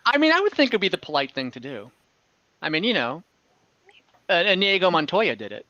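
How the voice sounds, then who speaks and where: A man acting as game master in an online game, narrating off the cuff in a halting, conversational way. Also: high voice, big pitch swings, pushed and bright, dry recording, muffled recording. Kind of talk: improvised narration and table talk in an online tabletop game.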